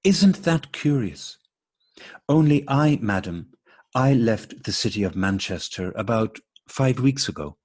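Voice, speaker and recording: A man playing a courteous, puzzled gentleman with formal, mock-astonished delivery in an absurdist radio play. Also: medium pitch, lively intonation, a little slow, slightly rough. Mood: mock astonishment. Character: courteous, puzzled English gentleman meeting a lady. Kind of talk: absurdist stage dialogue read as radio play.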